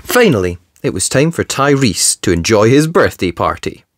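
A storybook reader narrating for young children in an expressive, theatrical, emphatic way. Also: mid-range voice, moderate pace. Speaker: storybook reader, channel host. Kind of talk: animated children's read-aloud narration.

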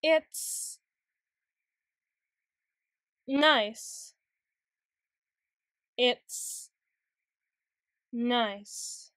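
A woman playing a proud, anxious, easily flustered tsundere young woman in an intimate ASMR roleplay, stammering and halting with wordy, formal phrasing. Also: a little high, fairly bright tone, dry recording, clean studio sound. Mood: tender, shy. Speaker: audio roleplay voice actor.

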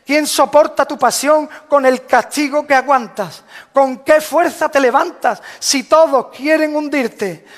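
A man's voice: very high-pitched, unhurried. A man solemnly reciting a rhymed devotional proclamation as a Holy Week proclaimer, in a declamatory manner. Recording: long hall reverb.

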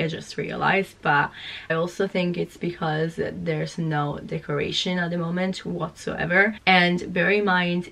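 Young woman vlogger, hoarse from a cold, gravelly low voice, bubbly chatty, small flat. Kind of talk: casual vlog chat.

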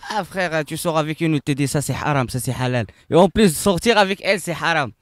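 A man's voice: on the high side, fairly quick, dry recording. Blunt young man, close dry voice. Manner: blunt, slangy. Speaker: passer-by. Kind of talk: casual street interview. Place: riverside outdoors. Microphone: handheld microphone.

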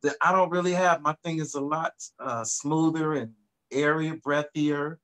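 Middle-aged guy on zoom, kinda higher voice, laid back, telling stories from his home studio. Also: normal speed. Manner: relaxed, reflective storytelling. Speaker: guest singer. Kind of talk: casual video-call interview. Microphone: video call.

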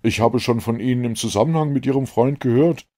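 A man voicing an eager, talkative young gentleman scientist in an audiobook, effusive and fussily precise. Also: mid-range voice, a little fast, close to an everyday voice. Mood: gushing fascination.

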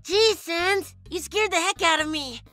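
A woman voicing an eager young male goat monster in a comic dub, excitable and boyish. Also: very high-pitched, measured pace, soft background music, heavily acted. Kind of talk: amateur comic dub acting.

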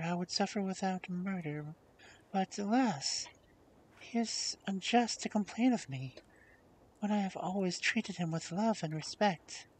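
A kind young princess from a fairy tale, read by a man in character. The voice is raised high, gentle and sincere, with a quiet dignity. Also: a little hiss or hum, lightly acted, rough recording. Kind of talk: storybook character voice.

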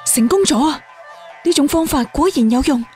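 A woman's voice: sits high, normal speed, a little mellow, lightly acted. A woman voicing an earnest, determined young cultivator in a fantasy audiobook. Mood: delighted. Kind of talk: audiobook character dialogue. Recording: music behind the voice.

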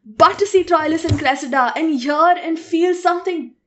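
Woman acting as insecure young actress in a radio play, super high voice, flustered n defensive. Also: fairly bright tone. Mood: defiant. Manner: flustered, protesting, halting.

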